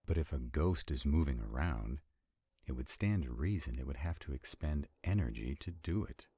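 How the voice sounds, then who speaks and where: A man playing an arrogant, stubborn vampire in a roleplay audio drama, with a deep, gravelly, husky voice and a haughty, deliberate delivery. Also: lively intonation, warm tone, a little hiss or hum. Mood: measured, explaining. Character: arrogant, stubborn male vampire, irritated and skeptical.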